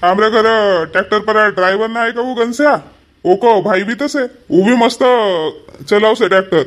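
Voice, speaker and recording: A man's voice: sits high, moderate pace, fairly bright tone. A man voicing a homely village husband and headman in a cartoon comedy, blunt in manner, with a Powari accent. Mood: loud, encouraging. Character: impatient but agreeable village husband and headman.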